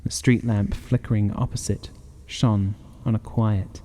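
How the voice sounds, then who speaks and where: A man's voice: lowish voice, steady tone, a little slow, warm tone. A man narrating a horror audiobook in a dramatic, scripted reading style.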